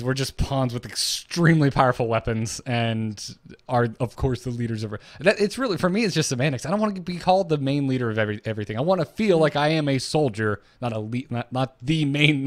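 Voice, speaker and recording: A man's voice: medium pitch, fairly quick, no echo, studio quality. A man co-hosting a casual gaming podcast, opinionated and emphatic, rephrasing as he makes his case. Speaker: podcast co-host.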